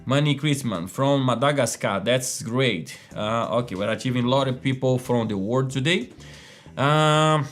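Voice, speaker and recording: A man hosting a casual live coding lesson, chatty and full of fillers, often checking in with "right?" and "okay?". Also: mid-range voice. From Brazil. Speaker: host of a live coding stream. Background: faint background music.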